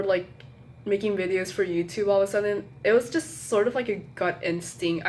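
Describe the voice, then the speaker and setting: A woman's voice: mid-range voice, a little hiss or hum. A young woman talking to her phone camera as a college student vlogger, hesitant and apologetic, with many fillers and restarts and a slightly nasal tone. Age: young adult. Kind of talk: casual rambling chat to camera.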